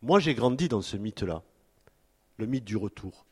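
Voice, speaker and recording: Guy from france in his 50s, talks slow and halting with lots of ums, lowish voice. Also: lively intonation, a little mellow, slightly rough. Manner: halting, many fillers and restarts. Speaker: panel speaker. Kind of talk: hesitant spoken talk at a public panel. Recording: long hall reverb.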